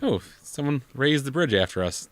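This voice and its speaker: A man's voice: mid-range voice, sing-song, normal speed. A man with a bad cold giving casual, joking game commentary with dry sarcasm as a co-host. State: has a bad cold, coughing. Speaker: gaming video co-host. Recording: noisy room, game sounds behind.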